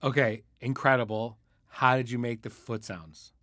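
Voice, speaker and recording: A man's voice: medium pitch, steady tone, normal speed, fairly bright tone. A man hosting a podcast interview in a conversational style, setting things up and asking questions.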